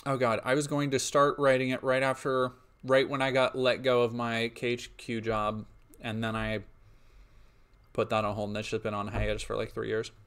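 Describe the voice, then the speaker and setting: A man's voice: medium pitch, level tone, normal speed, a bit bright, clean tone. Rambling, sleepy young man streaming. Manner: rambling, trails off with frequent ums and restarts. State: a little sniffly and sleepy. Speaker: streamer and indie game developer. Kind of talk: casual stream Q&A, reading chat.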